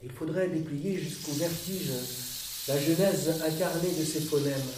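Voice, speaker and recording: A man reciting a poetic dramatic monologue in a measured, deliberate way, with pauses between phrases. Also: fairly high pitch. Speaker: monologue performer. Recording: roomy, distant, noisy with water-like hiss.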